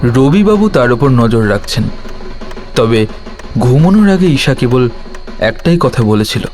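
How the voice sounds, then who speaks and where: A man's voice: mid-range voice, sing-song, unhurried, a little mellow, soft background music. A man narrating a scripted horror story in a dramatic, suspenseful way.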